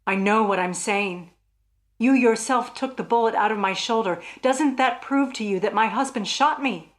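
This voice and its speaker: A woman's voice: a little high, moderate pace, close to an everyday voice. A woman playing an anxious married wife in a radio thriller, speaking earnestly and dramatically, as if fearing for her life. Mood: insistent. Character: anxious married woman convinced her husband plans murder.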